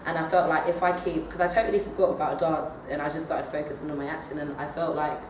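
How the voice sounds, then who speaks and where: Hesitant young woman, low voice. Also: fairly even intonation, fast. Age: young adult. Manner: hesitant, many fillers and restarts. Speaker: drama student. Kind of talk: assessment interview answers. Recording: echoey room, far from the mic, noisy.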